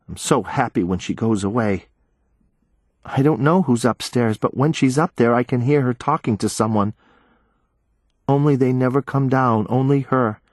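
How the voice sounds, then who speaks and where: Man voicing a small scared child, plaintive, pleading, raised pitch, dry close sound. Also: no echo, close to an everyday voice. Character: frightened small child held captive. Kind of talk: audiobook narration.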